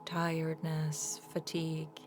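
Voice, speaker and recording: A woman leading a guided meditation as an energy healer, speaking in a soft, soothing, unhurried way with breathy phrase endings. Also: deep voice, completely flat delivery, over music.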